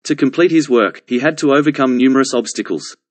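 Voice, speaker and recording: A man reads a prepared passage aloud as a model answer for learners. His delivery is measured and carefully enunciated, at a medium pitch. The sound is very dry, with no room around it. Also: no echo. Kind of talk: scripted read-aloud for English exam practice.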